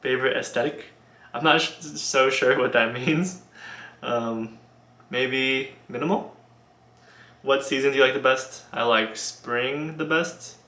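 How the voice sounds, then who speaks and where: A young man answering viewer questions in a casual video, relaxed and halting with many ums and restarts. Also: medium pitch, roomy sound. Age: young adult. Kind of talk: casual Q&A vlog.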